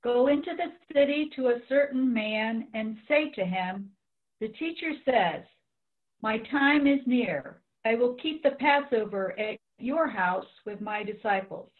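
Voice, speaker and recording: A woman's voice: fairly high pitch, a little slow. A woman giving a dramatic reading of scripture as a church reader, recorded with a thin phone-like sound.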